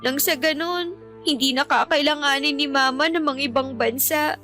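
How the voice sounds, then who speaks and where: An actress playing a loving, dutiful nineteen-year-old daughter in a Filipino radio drama, respectful and earnest, with a Filipino accent. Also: female voice, high-pitched, moderate pace, pushed and bright, soft background music. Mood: earnest, wistful.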